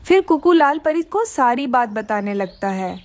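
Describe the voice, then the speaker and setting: A woman narrates a children's story from a script. Her voice is high, with very lively rises and falls. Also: a little slow.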